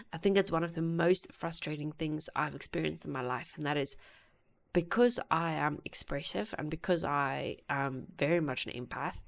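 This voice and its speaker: A woman hosting a music reaction video, talking earnestly and haltingly with many pauses. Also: deep voice, gravelly. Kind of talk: casual reaction commentary.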